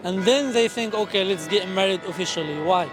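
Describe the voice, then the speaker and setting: A man from Yemen hosts a street interview, firing off questions in a rapid, high voice and chuckling at the answers. He sounds lively and quick as he prompts passersby outdoors, with music behind him. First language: Arabic. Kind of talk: upbeat vlog intro and street interview questions.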